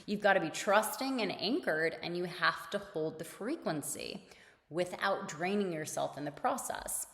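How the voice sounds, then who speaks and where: A woman hosting a solo motivational podcast, talking casually and repeating her key points, her voice slightly raspy. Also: on the low side, expressive, normal speed, a bit bright, long reverb tail. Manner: casual and conversational, repeats key points, laughs between phrases. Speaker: podcast host.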